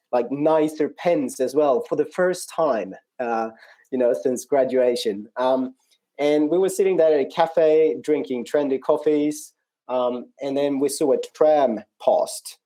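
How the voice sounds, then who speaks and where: A man with a Swedish accent, speaking conversationally as an interview guest, in a reflective, hesitant way with frequent pauses. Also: mid-range voice, dark tone, rough recording. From rural Sweden, outside Norrköping. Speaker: interview guest, non-profit country manager. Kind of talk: conversational personal account in an interview.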